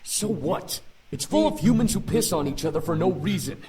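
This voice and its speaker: An actor playing a cynical young demon prince in a visual novel, scoffing, blunt and impatient. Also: male voice, sits high, sing-song, normal speed, dark tone. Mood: scornful. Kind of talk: visual novel character acting.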